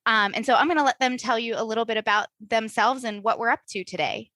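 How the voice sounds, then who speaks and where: A woman's voice: fairly high pitch, expressive, fast talker, bright, forceful tone, no echo, studio quality. A woman hosting a veterinary podcast, reading an upbeat, chatty introduction of her guests. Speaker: podcast host.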